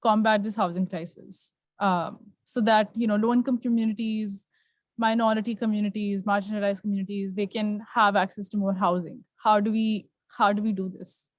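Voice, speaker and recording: A woman's voice: mid-range voice, fairly even intonation, normal speed, dry recording. Woman moderating, dry close sound. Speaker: panel moderator. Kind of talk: conversational panel moderation.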